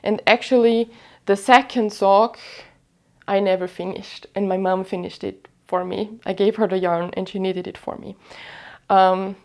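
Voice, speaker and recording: A woman's voice: medium pitch, steady tone, a little slow, a little mellow. Austrian-accented woman, reflective and casual. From western Austria. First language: Vorarlbergerisch Alemannic German. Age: 30s. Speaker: podcast host. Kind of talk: casual knitting vlog chat.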